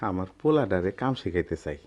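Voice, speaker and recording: An actor playing a gentle, principled middle-aged village goldsmith and father in a radio drama, speaking earnestly in colloquial rural Bangladeshi Bengali. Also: low voice, big pitch swings, quick pace, dry recording, muffled recording. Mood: humble, hesitant.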